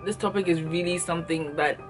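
A woman's voice: on the low side, fairly even intonation, fast, over music. A young woman from Ghana, talking to the camera in an earnest, emphatic way and repeating herself for stress, recorded close up in a small room. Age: young adult. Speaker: vlogger. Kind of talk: passionate direct-to-camera monologue. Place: small indoor room, plain wall. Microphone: camera in front at close range.

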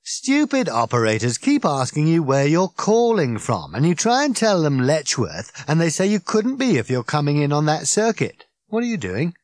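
A man doing an excitable ranting alien man. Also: a little high, normal speed, few pauses, no echo, voice pushed up. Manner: loud, indignant ranting.

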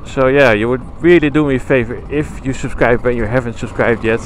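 A man's voice: mid-range voice, brisk, rough recording. A man with a Dutch accent talking casually while riding a motorcycle, rambling and correcting himself as he goes, recorded on a helmet camera mic. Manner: rambling, chatty, self-correcting. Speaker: motovlogger. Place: outdoors on a motorcycle on country roads. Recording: engine and wind noise under voice. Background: motorcycle engine and wind.

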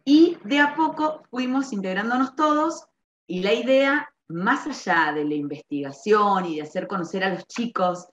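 A middle-aged woman with an Argentine accent from around Rosario, informally explaining a school project as a librarian, with hesitant pauses and stretched words. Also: on the high side, slight background noise. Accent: Argentine, Rosario area. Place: small bare-walled room indoors. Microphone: computer microphone on a video call. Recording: dull, echoey webcam audio.

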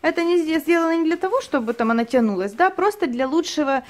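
Woman host, high voice, chatty and detailed home product review. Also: expressive, fast. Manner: chatty, thorough, many fillers and diminutives. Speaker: channel host reviewing clothing. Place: indoors at a table, filming only her hands.

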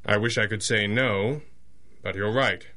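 Reserved, proper masked hero. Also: male voice, fairly low pitch, fairly even intonation, bright, forceful tone. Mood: earnest. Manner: formal, measured. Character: reserved, proper male masked crime-fighting boss. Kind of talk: 1930s-set radio superhero drama acting.

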